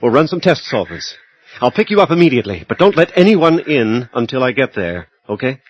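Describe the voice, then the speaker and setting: A man playing an anxious scientist doctor in a radio drama, deliberate and urgent in narration and dialogue. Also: mid-range voice, big pitch swings. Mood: urgent, instructing. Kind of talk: radio drama acting with first-person narration. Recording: dull, noisy lo-fi audio drama recording.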